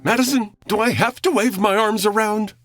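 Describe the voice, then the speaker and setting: A woman's voice: medium pitch, moderate pace, no echo, clean studio sound, faint music behind, close to an everyday voice. A woman playing a polite, put-upon housemate in a comedy audio drama, prim and mildly exasperated.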